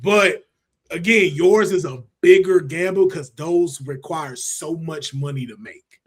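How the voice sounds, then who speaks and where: Male American panelist, fairly high voice, casual and opinionated, repeats points for emphasis. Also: unhurried, husky. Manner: colloquial, slangy, emphatic repetition. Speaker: podcast panelist judging picks.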